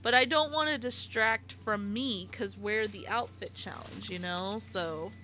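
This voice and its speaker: A woman's voice: medium pitch, a little slow, bright, forceful tone, slight background noise. A woman in her late twenties chatting casually on a live stream while she plays, giggly and talkative, answering her chat by name. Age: 20s.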